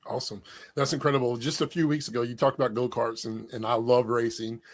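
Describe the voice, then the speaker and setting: A man hosting an interview, upbeat and quick, asking loosely phrased questions. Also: medium pitch, little pitch movement. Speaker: host.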